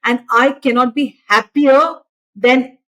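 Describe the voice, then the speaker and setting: A woman's voice: high voice, fairly even intonation, slow, fairly bright tone. A woman hosting an interview show, giving an effusive, formal introduction of her guest. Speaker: show host.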